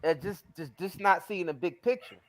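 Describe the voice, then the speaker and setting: A man's voice: sits high, expressive, normal speed. An American man co-hosting a casual talk show, blunt and slangy, with plenty of swearing. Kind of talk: casual opinionated chat.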